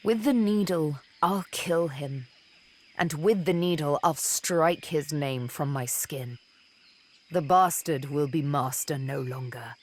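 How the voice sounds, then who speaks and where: A woman playing a seductive, vengeful elven assassin and former slave in a video game, speaking in a deliberate, theatrical, teasing manner. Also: low-pitched, darkish tone. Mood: vengeful, haunted. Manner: deliberate, teasing, dramatic storytelling with pauses. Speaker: video game companion character.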